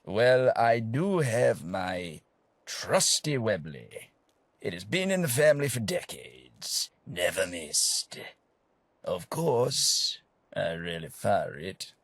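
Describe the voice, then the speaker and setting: An actor playing a genteel, long-winded British gentleman adventurer in a video game, pompous and rambling, with old-fashioned politeness and a husky voice. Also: on the low side, big pitch swings, slow, no room sound, heavily acted. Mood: genteel, self-assured. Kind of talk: theatrical video game companion dialogue.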